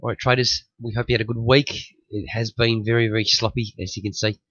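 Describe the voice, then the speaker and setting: A man's voice: on the low side, little pitch movement, fast, a bit bright, no room sound, dull audio. A man hosting a day trading room, recovering from flu and a bit sniffly, talking through the market with many restarts and warnings. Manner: repetitive, restarts phrases, cautionary. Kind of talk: market analysis commentary.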